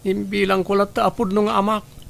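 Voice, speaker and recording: Casting: earnest young son, high creaky voice, gentle and forgiving, radio drama. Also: male voice, level tone, brisk, full character voice. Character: forgiving, dutiful young son raised by harsh father. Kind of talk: Ilocano radio drama acting.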